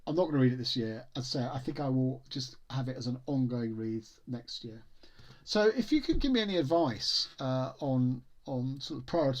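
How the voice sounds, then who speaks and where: Guy doing a bookish vlog, rambly and halting, just chatting to the camera. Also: medium pitch, expressive. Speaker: book reviewer vlogger. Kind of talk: casual talk to camera about books.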